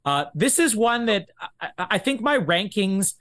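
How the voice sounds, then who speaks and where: A man's voice: high voice, very animated, normal speed, a bit bright. A man hosting a casual podcast book discussion, thinking aloud in a rambling way. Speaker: podcast host.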